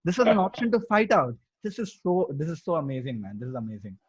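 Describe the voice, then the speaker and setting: A man's voice: on the high side, big pitch swings, fast talker, breathy edge. A young man with an Indian accent hosting a podcast in a casual, enthusiastic, rambling way, on slightly dull audio. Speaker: podcast host. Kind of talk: casual conversational interviewing.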